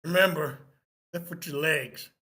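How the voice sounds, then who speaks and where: Terse middle-aged firearms officer, read by a man, very sharp bright tone, slightly high pitch, sullen table-read delivery. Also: measured pace. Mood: annoyed. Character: intelligent 47-year-old firearms officer, sullen, terse, second fiddle.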